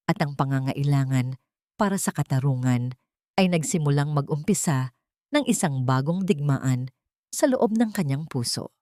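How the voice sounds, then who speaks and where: A Filipino woman narrates a dramatic audio story. Her voice sits a little low and is recorded very dry. She delivers the lines with measured, emotive storytelling. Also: a little mellow, no room sound.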